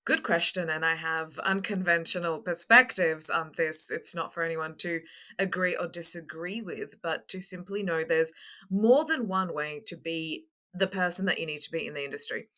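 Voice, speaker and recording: Deep-voiced, bright Australian woman being interviewed. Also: moderate pace. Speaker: podcast guest, construction coach. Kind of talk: interview talk.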